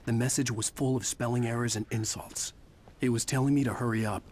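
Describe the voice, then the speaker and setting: A man's voice: mid-range voice, steady tone, brisk, noisy background, lightly acted. A man playing a desperate thriller writer hunting for his kidnapped wife, brooding and weary, in a scripted video game performance. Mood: tense, grim. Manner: brooding, weary first-person narration. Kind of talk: scripted game narration and acted dialogue.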